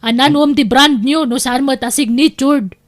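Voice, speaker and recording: A woman plays a boastful, bossy aunt in an audio drama. Her voice is high, with an Ilocano accent. She talks in an insistent, scolding way. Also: moderate pace, lightly acted. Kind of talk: Filipino audio drama acting.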